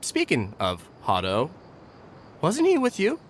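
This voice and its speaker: A man's voice: on the high side, wildly swooping pitch, takes it slowly, a little hiss or hum, full character voice. A man playing a touchy young man, a bickering brother, in a video game. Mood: indignant.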